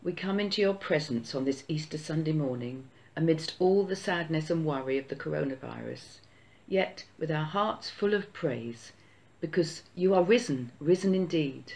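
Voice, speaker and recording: Gravelly voice, reading a church prayer slow and solemn. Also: mid-range voice, expressive, a little mellow. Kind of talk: read-aloud Easter prayer.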